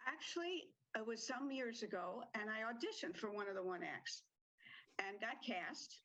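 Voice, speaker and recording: A woman's voice: fairly high pitch, lively intonation, moderate pace, a bit bright, low-quality recording. An older woman who directs community theatre, answering interview questions in a chatty way with ums and small laughs.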